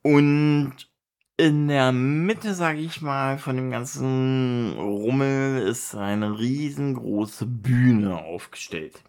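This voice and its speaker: A man from Berlin chatting casually in colloquial German, rambling and halting as he searches for words and gives his opinions. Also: medium pitch, darkish tone. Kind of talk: casual monologue, personal chat.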